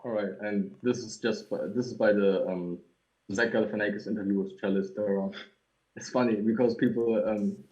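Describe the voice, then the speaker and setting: A man's voice: fairly low pitch, fairly even intonation. Easygoing young man with a German accent. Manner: easygoing, halting, laughs softly. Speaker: music producer guest. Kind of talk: casual interview chat. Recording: dull lo-fi line.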